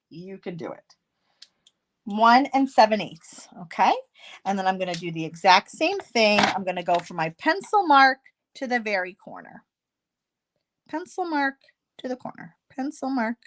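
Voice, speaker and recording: A woman hosting a casual card-making tutorial, chatty and self-deprecating, slightly hoarse with a stuffy nose. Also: fairly high pitch.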